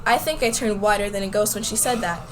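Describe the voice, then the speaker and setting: A woman's voice: medium pitch, quick pace, a little hiss or hum, lightly acted, poor audio. A woman playing a nervous, bewildered schoolgirl who tells her own story in an amateur radio play. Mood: narrating. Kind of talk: first-person story narration and acted dialogue in an amateur radio play.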